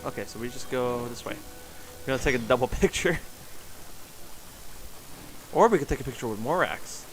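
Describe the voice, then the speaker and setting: A man's voice: medium pitch, expressive, moderate pace, rough recording. A man livestreaming a game with casual commentary, muttering to himself and reading choices aloud. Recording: game music faintly behind.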